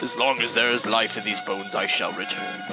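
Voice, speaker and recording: A man's voice: lowish voice, steady tone, moderate pace, over loud music, natural voice. A man voicing a brave noble knight in a solemn, formal way.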